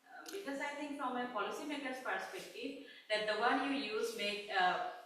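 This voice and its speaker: A woman in her thirties with a Bangladeshi accent asks a question at an academic talk, halting with many filler sounds. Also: a little high, sharp tone, slight background noise. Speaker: audience member asking a question. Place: lecture room. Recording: echoey room from far off.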